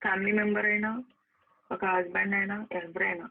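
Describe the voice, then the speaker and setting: A woman's voice: medium pitch, little pitch movement, fairly quick, creaky. Reproachful woman on a tinny phone line. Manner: emphatic, repetitive, reproachful. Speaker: actress. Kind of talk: heated private phone conversation. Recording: narrow, tinny recorded phone call.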